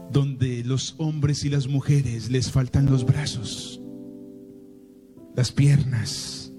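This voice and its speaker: A Colombian priest with a paisa accent from Medellín, preaching a sermon through a slow, dramatic personal story full of rhetorical questions. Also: male voice, medium pitch.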